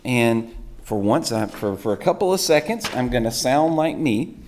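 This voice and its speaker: A man, a pastor preaching with a sore, hoarse throat, telling rambling self-mocking stories. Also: mid-range voice, animated, normal speed, a little mellow, slight background noise. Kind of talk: sermon.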